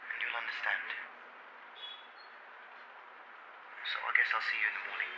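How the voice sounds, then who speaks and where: An actor playing an earnest young British radio journalist, speaking haltingly into a recorded diary in an audio drama. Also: male voice, on the low side, little pitch movement, bright, forceful tone, highs cut off, slight background noise. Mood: tender, determined.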